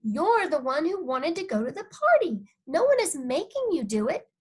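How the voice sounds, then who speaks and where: A woman voicing a patient, reasoning mother in a children's audiobook, calm and matter-of-fact. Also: sits high, moderate pace, pitched above the performer's own voice. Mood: firm, reasoning.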